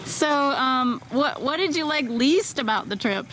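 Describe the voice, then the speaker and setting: A woman's voice: high-pitched, lively intonation, a little slow, a bit bright, low-quality recording. A woman hosting a sailing vlog, giving an upbeat, chatty tour and interviewing a crew member outdoors at a marina. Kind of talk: casual vlog narration and tour. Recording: outdoor noise in background.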